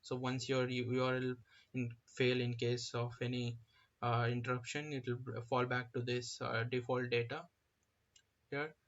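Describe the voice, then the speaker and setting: A man presenting an informal screen-share software tutorial, explaining step by step in a halting, hesitant manner. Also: mid-range voice, level tone, highs cut off.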